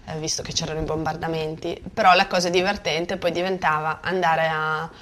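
Low, even-toned woman from Bosnia. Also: fairly quick. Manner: informal, hesitant, thinking aloud. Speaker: memoir author. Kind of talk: spontaneous spoken recollection.

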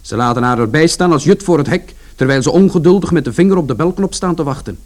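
A man's voice: on the high side, animated, fast, darkish tone, close to an everyday voice. An actor playing an indignant, long-winded man who explains his side of events as narrator of a radio play. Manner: aggrieved, explanatory, colloquial.

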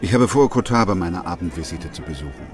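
Very deep-voiced, sober radio-play doctor. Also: male voice, animated, fast. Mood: sober, restrained. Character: sober, measured male doctor who also narrates. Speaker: narrator and lead actor. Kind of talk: literary radio drama. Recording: music behind the voice.

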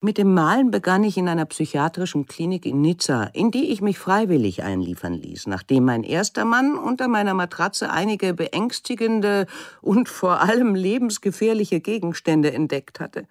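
An actress playing a woman sculptor who recalls her life in measured, articulate radio drama narration. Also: fairly low pitch, expressive, no room sound, studio quality. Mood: dry, candid. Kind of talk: scripted first-person radio drama narration, translated voice-over.

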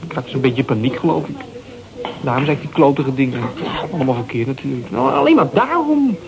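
An actor in a Dutch radio play as a defensive, insecure young man who talks haltingly in coarse, slangy language. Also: mid-range voice, lively intonation, vocal fry, dull audio. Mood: confessional, vulnerable. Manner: halting, repeating words, coarse slangy swearing. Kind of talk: naturalistic Dutch radio drama acting. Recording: radio play with crowd chatter behind.

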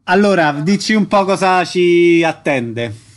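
A man's voice: high voice, lively intonation, slow pace. A man hosting a radio programme, casually introducing a segment. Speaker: radio host.

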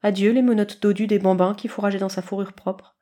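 Female podfic narrator, medium-pitched voice, expressive scripted storytelling. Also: brisk.

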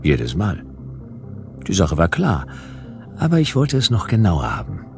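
A deep-voiced private eye narrates a sci-fi radio play. He is dry, wry and laconic in a hard-boiled way. Faint music sits behind him. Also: wildly swooping pitch, measured pace, heavily acted. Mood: wry, matter-of-fact. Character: laconic, wry male private detective narrating his case.

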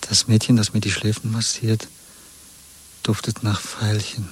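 An actor playing a weary, wary dissident lover in a 1970s German radio drama, with a husky voice and a measured, resigned delivery. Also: male voice, fairly low pitch, flat delivery. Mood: subdued. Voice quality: husky, breathy.

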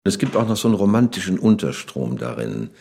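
An older man speaks in an extremely deep, gravelly voice. The tone is dark and mellow, and he comments thoughtfully, like a literary critic being interviewed. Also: big pitch swings, a little fast.

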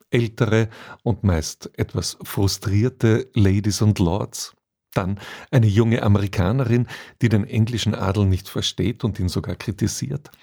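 A male radio presenter reads a scripted introduction. His voice is fairly low and dark, with a mellow, relaxed tone.

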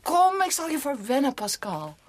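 An actress playing a devoted young niece in love with her master in a radio drama, ardent and breathy, often dropping to a hush. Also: sits high, big pitch swings, normal speed. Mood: happy, affectionate. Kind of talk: Dutch radio drama acting.

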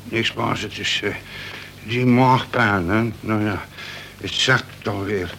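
A man's voice: on the low side, takes it slowly, creaky, a bit bright, slight background noise, heavily acted. A man acting a wily, cheeky convict in a radio play, wheedling and arguing in colloquial speech with mock humility. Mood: pained, pleading.

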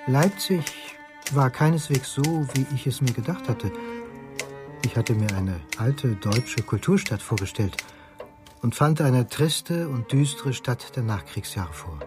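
A man's voice: mid-range voice, animated, lightly acted. Reflective male narrator acted by a man, music behind. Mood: wistful, sober. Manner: measured, reminiscent. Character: reflective, sober male narrator recalling student years. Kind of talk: scripted first-person radio drama narration.

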